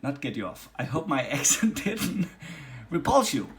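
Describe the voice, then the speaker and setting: A man from Denmark with a Danish accent, casually demonstrating and reviewing a leather machine, with many pauses and restarts. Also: fairly high pitch, big pitch swings. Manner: halting, many ums and restarts. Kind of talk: casual workshop demo. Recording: faint background noise and clicks.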